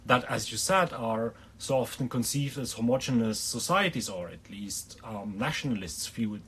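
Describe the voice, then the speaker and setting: A man's voice: medium pitch, moderate pace, a bit bright, a little hiss or hum. A man interviewing an academic guest, asking long, carefully built questions.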